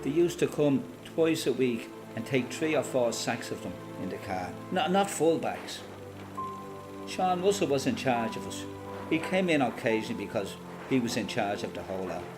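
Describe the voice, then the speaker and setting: An elderly Irish former freedom fighter, played by a man, tells his memories in a radio play. He is plain-spoken and quietly proud, talking like a storyteller at ease. Music plays clearly behind him. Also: mid-range voice, natural voice. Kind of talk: radio play monologue, reminiscing as recorded testimony.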